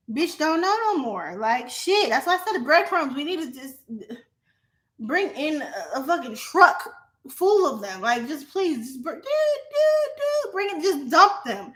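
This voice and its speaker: A young adult woman vlogging in a casual, profane monologue to her viewers, animated and often laughing. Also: on the high side.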